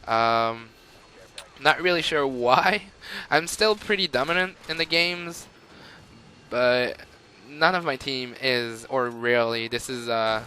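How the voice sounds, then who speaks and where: Young French Canadian man, bright voice, chatty rambling game commentary, faint noise floor. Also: medium pitch, takes it slowly. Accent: Quebec French. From Montreal, Canada. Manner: rambling and chatty, with frequent fillers and laughs. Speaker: gamer. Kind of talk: gameplay commentary.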